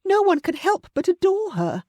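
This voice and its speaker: Woman reader as a gossipy young lady, high and breathless, sound close and dry. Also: big pitch swings, moderate pace, a little mellow, no echo. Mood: gushing.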